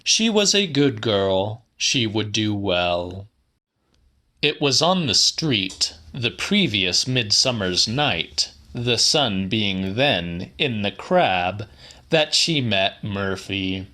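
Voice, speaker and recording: Slow, bright-voiced man reading dramatically. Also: fairly low pitch. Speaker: audiobook reader. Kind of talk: slightly dramatic literary audiobook narration.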